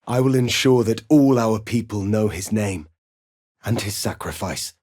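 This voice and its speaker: An actor playing a proud, righteous rebel warrior prince in a fantasy video game, speaking in a solemn, formal, declamatory way. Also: male voice, fairly low pitch, measured pace, darkish tone, no room sound, studio quality. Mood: solemn, earnest.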